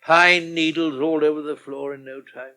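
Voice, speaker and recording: High-voiced, peevish English convalescent. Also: male voice, fairly even intonation, moderate pace, bright, forceful tone. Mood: gloomy, self-pitying. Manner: peevish, formal, complaining. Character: grumpy, self-pitying older male convalescent. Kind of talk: British radio soap acting.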